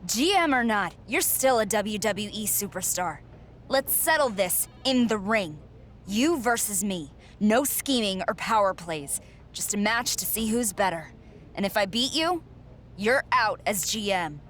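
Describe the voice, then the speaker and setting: A woman's voice: medium pitch, lively intonation, measured pace, fairly bright tone, faint music behind. A woman playing a defiant, determined female pro wrestler in a video game cutscene, speaking in a firm, confrontational way. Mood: fed up, challenging.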